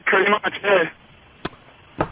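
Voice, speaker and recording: A young man calling in, telling a casual story in a hesitant, slangy way. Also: slow. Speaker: caller. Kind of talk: casual anecdote. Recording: tinny phone line, faint noise.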